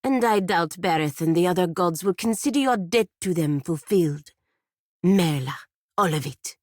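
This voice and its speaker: Proud fantasy game warrior, low voice, grave and formal, stern, very dry close recording. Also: female voice, expressive, moderate pace, darkish tone, no echo. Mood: scornful. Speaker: video game character voice actor. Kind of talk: scripted video game dialogue.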